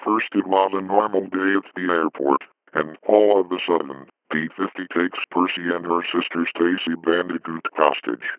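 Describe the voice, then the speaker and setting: A man's voice: low-pitched, fairly even intonation, normal speed, no room sound. A man playing a police chief giving a matter-of-fact report over a phone line. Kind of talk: scripted fictional news report. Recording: narrow tinny phone line.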